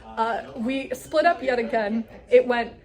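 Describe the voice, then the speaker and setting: A woman's voice: fairly high pitch, measured pace, a crowd in the background. A woman chatting enthusiastically and casually as the host of a group recap vlog.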